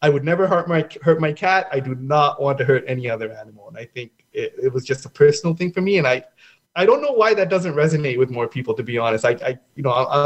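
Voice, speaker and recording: A young adult man from Sri Lanka, a festival founder being interviewed, answering earnestly with many halting restarts. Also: mid-range voice, lo-fi sound.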